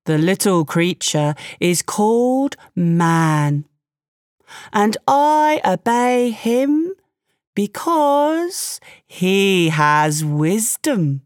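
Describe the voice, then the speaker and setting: A woman voicing a calm, wise male water buffalo who speaks in a measured, thoughtful way. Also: medium pitch, smooth, no room sound, clean studio sound. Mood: calm, superior.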